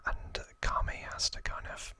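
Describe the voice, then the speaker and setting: A man whispering an ASMR recap in a breathy, unvoiced voice, explaining things in a hesitant, self-correcting way. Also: moderate pace, pushed and bright. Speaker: host of an ASMR trivia channel.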